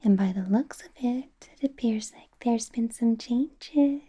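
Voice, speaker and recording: Woman doing a possessive vampire boss lady, slow breathy whisper, doting and bossy, asmr roleplay. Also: fairly high pitch, warm tone. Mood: teasing, menacing. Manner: slow, teasing, intimate personal-attention delivery.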